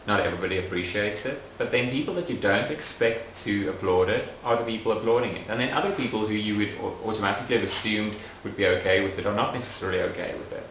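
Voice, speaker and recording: Young adult man, documentary interviewee, low pitch, gravelly, unhurried and reflective. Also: a little hiss or hum. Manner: thoughtful, soft-spoken, frequent fillers. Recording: roomy echoey recording.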